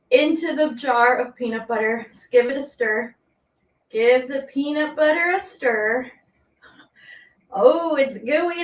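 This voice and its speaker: A woman with a bright, very high voice teaches a children's movement class. She is playful and exclamatory, drawing words out as she gives easy instructions. The sound is echoey, as if she stands back from the mic in a room. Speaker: teaching artist leading a children's warm-up. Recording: roomy echo, distant from the mic.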